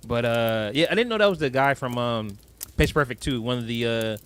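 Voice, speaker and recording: A man's voice: medium pitch, brisk, fairly bright tone, clear, resonant tone. A young man co-hosting a podcast, chatting casually and animatedly about a movie, laughing often and swearing. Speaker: podcast co-host.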